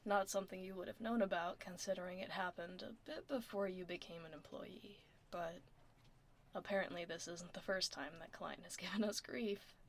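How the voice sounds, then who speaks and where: A woman playing a laid-back tomboy werewolf office admin in a soft, casual, wry ASMR roleplay. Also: fairly low pitch, steady tone, normal speed, close to an everyday voice. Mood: confiding, wry. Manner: casual, reassuring, wry, murmuring. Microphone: close binaural ASMR microphone. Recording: close binaural mic, faint background noise.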